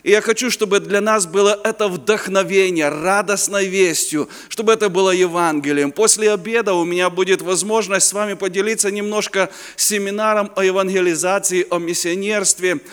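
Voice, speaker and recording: A man's voice: high voice, steady tone, fairly quick, few pauses, a bit bright, smooth. A man in his fifties from Ukraine, a native Ukrainian speaker with a Ukrainian accent, giving a chatty, joking sermon as a guest preacher at a youth conference, sometimes searching for words. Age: 50s. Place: conference hall. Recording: reverberant hall.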